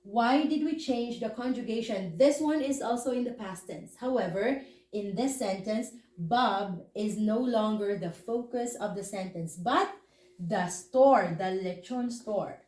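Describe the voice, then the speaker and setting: A woman's voice: mid-range voice, measured pace, darkish tone. A woman with a Filipino accent teaching a language lesson step by step, in a didactic way with check questions. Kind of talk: instructional lesson, explaining step by step. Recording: echoey, roomy sound.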